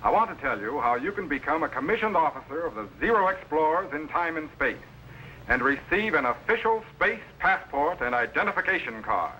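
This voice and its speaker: A commanding captain of a time machine in vintage TV sci-fi. His fairly high voice swings in an animated way, with crisp authority and a bright, forceful edge. The old soundtrack has a light layer of noise. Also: brisk. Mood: friendly, presentational. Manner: crisp, authoritative, kindly instructing. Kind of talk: 1950s television sci-fi acting. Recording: slightly noisy old 1950s TV soundtrack.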